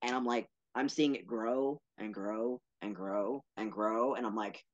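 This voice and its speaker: A man talking as a podcast guest about his work, earnest and animated, with playful asides. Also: lowish voice, measured pace, dull audio. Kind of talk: casual interview talk.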